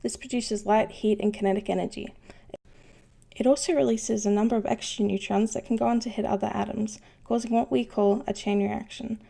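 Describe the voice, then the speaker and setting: Mellow, creaky-voiced spaceship engineer played by a woman. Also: medium pitch, steady tone, brisk. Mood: patient explaining. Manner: patient, instructive. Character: knowledgeable, unflappable female ship's engineer, fond of puns. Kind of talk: scripted educational explanation in animation.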